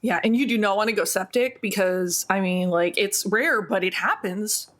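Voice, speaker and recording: A woman acting nervous young woman, rambling bluffer, urgent stammer, medium pitch, tabletop game character. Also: normal speed, fairly bright tone, close to an everyday voice. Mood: urgent bluffing.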